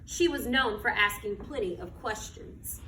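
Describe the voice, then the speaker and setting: A woman's voice: medium pitch, a little slow, sharp tone. A woman reading a children's picture book aloud with emphatic, dramatic phrasing and questions put to her listeners. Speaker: read-aloud storyteller. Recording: roomy echo, distant mic, background noise.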